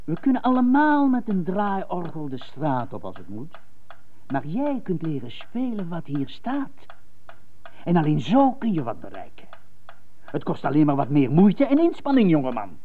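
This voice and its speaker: An actress in a radio drama playing a strict, condescending piano teacher who lectures emphatically. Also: female voice, sits low, huge pitch swings, normal speed, slight background noise. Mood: admonishing. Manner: emphatic, lecturing, repeats for effect. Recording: thin phone-like radio tape.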